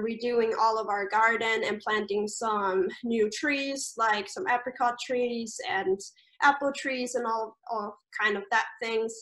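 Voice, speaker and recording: A woman's voice: on the high side, a bit bright, low-quality recording. Chatty Austrian German young woman. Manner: chatty, many fillers and restarts. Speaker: camp staff member. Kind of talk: casual interview chat.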